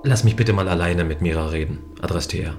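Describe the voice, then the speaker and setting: A man plays a male guardian on a space station, gentle and dutiful. His low voice explains things earnestly and considerately, with music underneath in a sci-fi radio play. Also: a little fast. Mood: apologetic, earnest. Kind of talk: science fiction audio drama acting.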